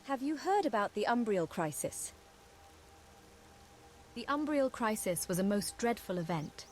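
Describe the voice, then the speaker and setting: A woman voicing a courteous, earnest young mage companion in a fantasy game, speaking formally and gently. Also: fairly high pitch, sing-song. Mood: thoughtful, gentle. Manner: formal, eloquent, gentle, measured. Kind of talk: scripted fantasy game dialogue.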